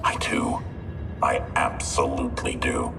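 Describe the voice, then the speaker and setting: An actor playing a brooding older man fascinated by a relic in a video game, deliberate and hushed, with a deep breathy voice. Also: level tone, full character voice. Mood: absorbed, quiet. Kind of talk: video game cutscene acting. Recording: distant echoing hall, music behind.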